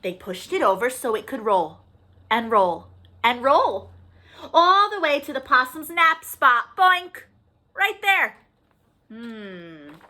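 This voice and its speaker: A woman hosting story time for young children, animated and sing-song, talking to them with questions and encouragement. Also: high voice, takes it slowly. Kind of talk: children's storytime read-aloud with singing and asides.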